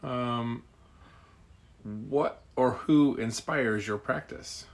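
A man's voice: medium pitch, extremely slow. A man off camera asking short, plain interview questions. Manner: brief and plain.

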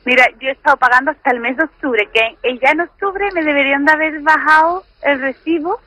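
Sharp, very high-pitched indignant woman. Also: fast, poor audio. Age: 30s. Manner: indignant, argumentative. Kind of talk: defensive phone conversation.

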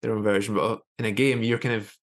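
A man's voice: lowish voice, steady tone, fast talker. A man in his thirties casually interviewing someone as a podcast host on a video call. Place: indoor room, on a video call.

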